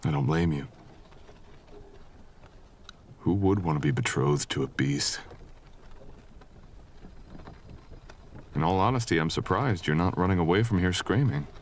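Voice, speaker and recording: A man playing a mysterious, courteous wandering traveler in a soft-spoken romantic fantasy audio roleplay, with old-fashioned, teasing phrasing. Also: low-pitched, slight background noise. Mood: curious, gentle.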